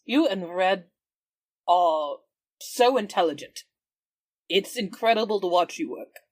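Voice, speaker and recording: A woman's voice: mid-range voice, expressive, measured pace, no echo. A woman improvising in-character conversation as a tabletop game player, polite and appreciative.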